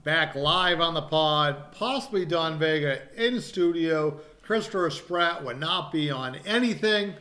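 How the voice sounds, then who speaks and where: A man's voice: a little high, animated, measured pace, hardly any pauses, sharp tone. A man hosting a wrestling podcast, selling live shows over television in salesy, teasing banter. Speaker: wrestling podcast host and promoter. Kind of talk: casual promotional chat.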